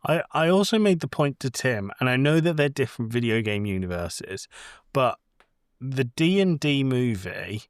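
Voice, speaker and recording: A man's voice: mid-range voice, expressive, normal speed, no room sound, clean studio sound. A man co-hosting a casual podcast, giving blunt opinions in a conversational way with some swearing. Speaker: podcast co-host. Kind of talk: casual movie discussion.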